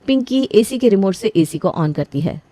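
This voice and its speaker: A woman narrating an animated story in a steady, matter-of-fact storybook manner. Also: mid-range voice, normal speed, no room sound.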